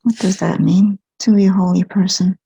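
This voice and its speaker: A woman hypnotherapist guiding a regression session in a soft, whispery way. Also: medium pitch, fairly even intonation, moderate pace, vocal fry, breathy edge, dry recording, poor audio. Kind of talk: guided regression.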